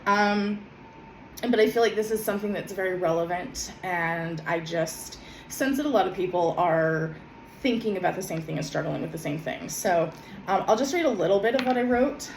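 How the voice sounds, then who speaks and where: Woman a bit hoarse from a cold, soft and halting, lots of ums, echoey room w faint hum. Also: on the low side, expressive. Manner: soft, hesitant, many ums and self-corrections. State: slightly hoarse from a summer cold. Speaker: vlogger. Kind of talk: casual heartfelt talk and reading her own journal.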